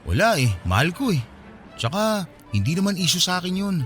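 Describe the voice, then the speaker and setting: Actor as young Filipino man, easygoing best friend, very lively swooping intonation, slightly high pitch, radio drama, faint music. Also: a little fast. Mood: matter-of-fact.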